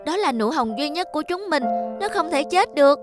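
Earnest high-pitched cartoon child. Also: female voice, animated, a little fast, over loud music. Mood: anxious.

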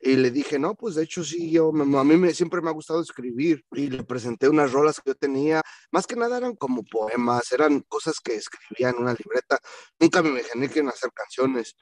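Relaxed man telling stories. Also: mid-range voice, brisk, no room sound. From Mexico. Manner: relaxed, slangy, slips into English phrases. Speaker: band vocalist, guest. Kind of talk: casual storytelling in an interview.

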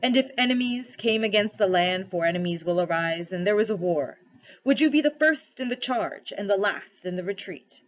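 A woman's voice: mid-range voice, animated, moderate pace, close to an everyday voice. A great storybook lion played by a woman reader, grave and regal. He speaks formally and with authority, in a slightly dull, lo-fi recording. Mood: grave questioning. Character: great solemn male lion king, commanding and wise.

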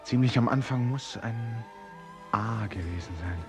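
A man's voice: mid-range voice, unhurried, music in the background, natural voice. An actor playing a puzzled, wistful painter in a literary radio play, musing and questioning as he speaks. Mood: searching. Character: searching, puzzled male painter and narrator, wistful.

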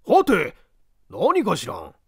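Guy doing an excitable polite gentleman in an audiobook, bright forceful voice, slow and exclamatory. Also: sits high, slightly rough, voice pushed up, full character voice. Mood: curious, puzzled. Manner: exclamatory, mocking politeness, drawn-out guffaws. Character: excitable, polite Japanese gentleman, astonished then heartily amused. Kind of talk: audiobook character reading.

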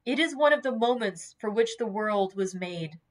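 A woman reading a novel in the voice of an earnest, tender old man who is quick to heat up. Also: mid-range voice, expressive, close to an everyday voice. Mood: tender urging. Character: impassioned saintly old man, tender, hot-tempered, earnest.

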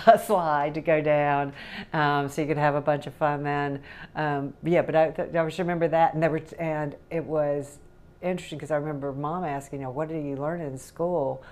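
A woman's voice: deep voice, darkish tone. A woman in her sixties with a faint Southern accent, recalling childhood memories in a rambling, chatty way at her kitchen table. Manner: rambling, chatty, laughs often. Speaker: interviewee. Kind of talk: casual recollection. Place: home kitchen, indoors.

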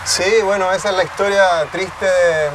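A man's voice: high voice, steady tone, moderate pace. Man, Chilean accent, 30s, reflective, restarts phrases, distant mic, traffic noise. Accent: Chilean Spanish. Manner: thoughtful, restarts phrases often. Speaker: guest, tech cofounder. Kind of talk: reflective interview answers. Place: outdoor park under a tree, city. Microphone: distant camera mic.

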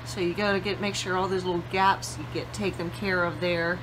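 A woman's voice: fairly low pitch, little pitch movement, fairly quick, background noise. A middle-aged woman giving plain step-by-step cooking instructions in her home kitchen, with some fillers, picked up by a camera mic a few feet away. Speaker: cooking channel host.

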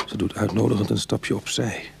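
A man playing a streetwise reformed burglar who tells his own uneasy story in a radio drama, husky and confiding. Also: fairly low pitch, fairly even intonation, a little fast, background noise. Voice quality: husky, breathy. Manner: hushed confiding narration, exclamatory in dialogue.

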